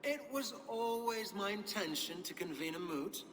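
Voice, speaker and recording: An actor playing a formal, self-righteous medieval lord in a video game, speaking in declarations. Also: male voice, high-pitched, animated, moderate pace, a bit bright. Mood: stately. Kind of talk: dramatic video game acting. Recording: long hall-like reverb.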